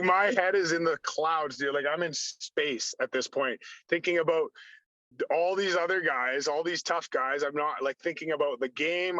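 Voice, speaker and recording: A man, a podcast guest, telling animated stories with a slightly raspy voice, acting out what people said and filling his talk with "like". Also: on the high side, no room sound. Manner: acts out dialogue, heavy use of "like". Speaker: podcast guest, former hockey enforcer. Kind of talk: animated storytelling. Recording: dull lo-fi sound.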